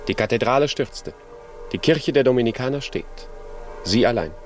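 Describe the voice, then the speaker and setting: An actor playing a sober, dignified, chivalrous gentleman in a 1950s German radio play, speaking in measured, formal diction. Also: medium pitch, lively intonation, natural voice. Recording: 1950s radio production with music behind.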